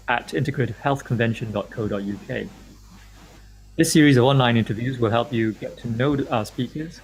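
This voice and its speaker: A man's voice: mid-range voice, a little hiss or hum, rough recording. A man from Malaysia hosting an online interview, reading a formal introduction and then asking questions, with frequent fillers and restarts. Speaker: host and interviewer.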